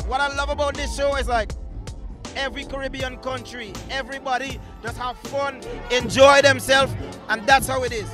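A man, an excited performer giving a hyped interview outdoors at a park festival into a handheld mic. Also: very high voice, normal speed. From Guyana. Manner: excitable, shouting hype lines. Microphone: handheld mic held by interviewer. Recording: outdoor festival with loud music.

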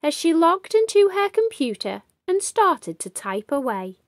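A woman reads a children's story in a high voice with sweeping, animated intonation. She takes her time over the phrases, and the sound is dry and close. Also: no room sound. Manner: animated, deliberate storytelling delivery. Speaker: children's storybook reader and host. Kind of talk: expressive read-aloud storytelling.

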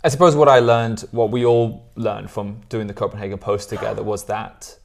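Thirties male editor, studio guest; low monotone delivery, thoughtful with hesitations. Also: normal speed, gravelly. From Switzerland. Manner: thoughtful, hedging with ums and restarts. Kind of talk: studio interview. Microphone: lapel.

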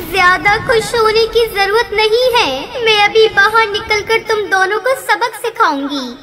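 Woman as a very high-pitched kindly ghost bird. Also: measured pace, over loud music. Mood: defiant. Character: kind, brave young female ghost bird. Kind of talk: Hindi moral-story cartoon dubbing.

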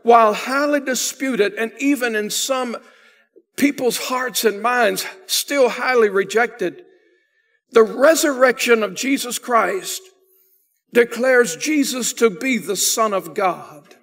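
A man's voice: very high voice, takes it slowly. An older man preaching a sermon in a church sanctuary, speaking from the pulpit and pressing hard on key phrases. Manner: emphatic preaching, swelling louder on key phrases. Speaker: pastor.

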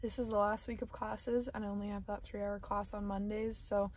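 A woman's voice: medium pitch, level tone, fairly quick, a little mellow. A woman, chatting informally to camera like a student vlogger. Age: 20s. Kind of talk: casual chat to camera, daily vlog. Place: parked car and home kitchen. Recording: background noise in car and kitchen.

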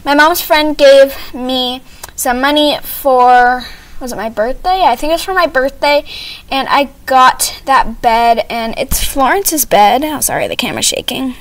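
A woman's voice: high voice, lively intonation, measured pace. A young female vlogger chatting casually in a rambling, self-correcting way as she hosts a home tour video. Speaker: host of a doll video channel. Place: small home playroom, indoors. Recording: noticeable background noise, amateur camera audio.

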